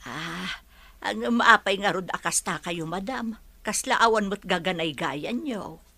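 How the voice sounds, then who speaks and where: A woman's voice: mid-range voice, measured pace, sharp tone. An actress playing a concerned woman helper who comforts her employer in a radio drama. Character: concerned woman helper named Nana Helen.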